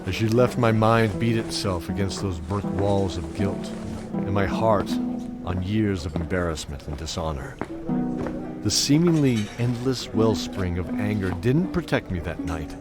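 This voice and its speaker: A man playing a brooding old knight who tells his own tale in a measured, reflective, dramatic way for an audio drama. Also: low voice, animated, loud music behind the voice. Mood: ashamed, anguished. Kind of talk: first-person audio drama narration.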